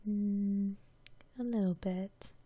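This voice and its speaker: A woman whispering softly and breathily as she muses aloud through ASMR game commentary. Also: medium pitch, fairly even intonation, breathy edge, no echo, highs cut off. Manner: unhurried, soft-spoken musing aloud. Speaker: host of an ASMR gaming channel.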